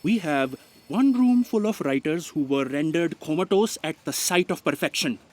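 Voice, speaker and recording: A woman plays a put-upon assistant in comic sci-fi audio drama. The delivery is sardonic and exasperated, with wide swings of pitch. The sound is very dry. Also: expressive, normal speed, darkish tone, dry recording.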